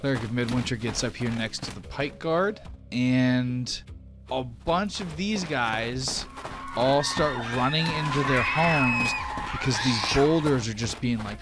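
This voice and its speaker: A man acting as game master, speaking at an unhurried, slow pace. His delivery is casual and a little sweary as he narrates the game, with faint music playing underneath. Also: mid-range voice. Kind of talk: narrating a tabletop roleplaying game.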